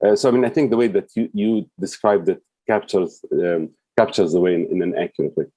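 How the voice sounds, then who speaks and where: Brief: man guest, low mellow voice, dry close sound, thoughtful, halting delivery. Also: no room sound. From United States. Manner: thoughtful, hesitant, many fillers and restarts. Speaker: guest, advocate. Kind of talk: interview answers.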